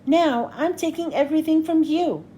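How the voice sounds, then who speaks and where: Lady doing a bitter villain professor in a kids book, accusing, dramatic, high voice. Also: normal speed, dark tone, a little hiss or hum, lightly acted. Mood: vengeful anger.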